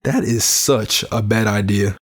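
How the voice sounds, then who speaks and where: A man's voice: lowish voice, a little slow, natural voice. A man playing a weary, disillusioned young survivor in an audio drama, reflective and plain-spoken. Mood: distracted, dry. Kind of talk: first-person audio drama narration and dialogue. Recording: loud music behind the voice. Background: music.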